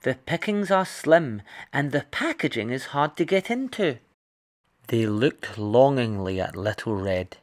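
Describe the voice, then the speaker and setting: A man narrating a children's picture book in an expressive read-aloud style. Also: medium pitch, a little slow. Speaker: storybook reader.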